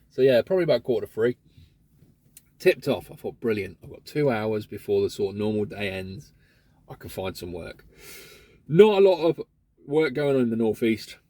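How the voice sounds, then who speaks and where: Man with a mellow tone, chatty vlogger grumbling about his day with casual swearing. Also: mid-range voice, dry recording. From England. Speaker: van courier vlogger. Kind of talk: casual vlog monologue.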